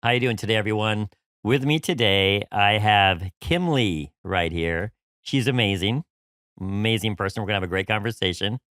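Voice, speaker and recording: A man from New York hosts a casual podcast interview, full of eager praise and filler phrases. His voice sits on the low side for a man. The sound is close and dry, with no room echo. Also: moderate pace, a bit bright. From New York City. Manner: upbeat, effusive, many "you know"s. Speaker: podcast host.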